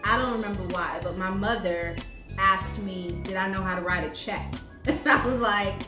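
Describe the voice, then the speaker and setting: A woman in her thirties, raised in the United States, tells personal stories in a lively way and laughs often. Her voice sits at an ordinary middle pitch and picks up echo from a small room at home. Quiet music runs underneath. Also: moderate pace. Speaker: co-host of a married couple's vlog. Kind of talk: casual chat and personal storytelling. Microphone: desk condenser mic, wearing headphones. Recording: echoey room.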